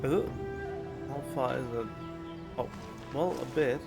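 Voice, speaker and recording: A man's voice: medium pitch, measured pace, creaky. A man doing casual commentary while playing a video game, musing aloud in an offhand, curious way. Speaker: gaming streamer. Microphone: USB condenser mic. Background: game music.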